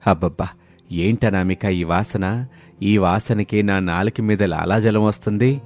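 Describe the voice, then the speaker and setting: Man voicing a low-pitched village father in his sixties. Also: measured pace, a little mellow, faint music behind, natural voice. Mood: delighted. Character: worried sixty-year-old village father, plain-spoken and expressive.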